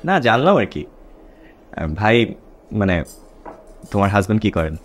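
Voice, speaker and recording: An awkward young husband, animated. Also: male voice, lowish voice. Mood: awkward, hesitant. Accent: Bangladeshi. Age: young adult. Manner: hesitant, halting. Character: awkward, evasive newly married young office man. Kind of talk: natural TV drama acting.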